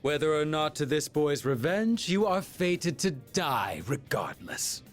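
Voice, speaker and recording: An actor playing a coldly courteous game villain with formal, archaic, taunting speech who preaches like a false prophet. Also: male voice, fairly high pitch, big pitch swings, a little slow. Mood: coldly mocking. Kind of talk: English video game voice acting. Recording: music under the voice.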